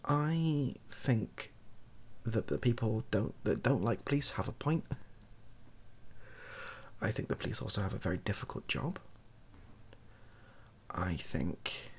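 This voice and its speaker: A soft-spoken British man gives an improvised monologue in a low, husky voice with plenty of fry. He rambles and hesitates as he works through his opinions, circling back and qualifying himself. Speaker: online video creator.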